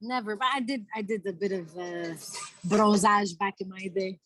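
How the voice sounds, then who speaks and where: A woman's voice: medium pitch, steady tone, moderate pace, fairly bright tone, no room sound. A woman with an Italian-Canadian Montreal accent, chatting casually as a talk show guest. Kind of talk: casual chat. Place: remote check-in from a restaurant.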